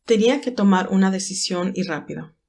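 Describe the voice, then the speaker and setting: Woman narrator reading a dramatic love story, scripted, lowish-to-mid voice. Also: a little fast, creaky, a little mellow.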